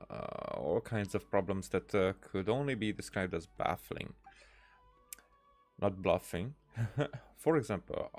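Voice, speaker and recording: A man doing casual let's-play commentary, reading game dialogue aloud in a hesitant way with many "uh"s and restarts. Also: lowish voice.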